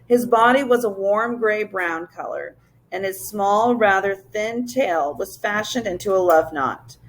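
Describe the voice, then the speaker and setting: A woman's voice: medium pitch, a little slow, poor audio. A woman narrating a children's story as a teacher reading aloud to a class.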